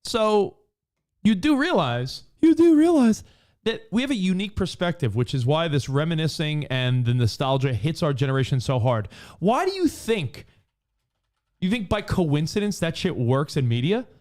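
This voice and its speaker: A man in his forties co-hosting a podcast in casual chat, animated and fond of acting out quoted voices. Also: a little high, moderate pace. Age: 40s. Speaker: podcast co-host.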